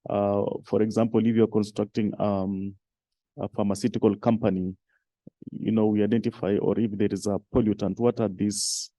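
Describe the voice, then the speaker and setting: Halting low-voiced man listing points. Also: little pitch movement, warm tone, no room sound. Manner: halting, many fillers, lists points. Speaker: conference participant. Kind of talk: discussion contribution. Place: conference hall.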